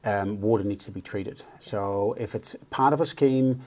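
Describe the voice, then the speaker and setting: A man with a Dutch accent, a regional council deputy chair, answering questions on a live stream in an earnest, halting way as he searches for words. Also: lowish voice, darkish tone. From Netherlands.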